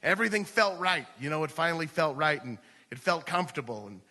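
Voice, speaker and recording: An American man performs comedic personal storytelling in a conversational, filler-peppered way. His voice is very bright and sharp and sits a bit high for a man. It rings through a live hall with long reverb, like a stage microphone in a theater. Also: lively intonation, fairly quick. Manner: conversational, peppered with "like" and "you know". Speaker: stand-up comedian.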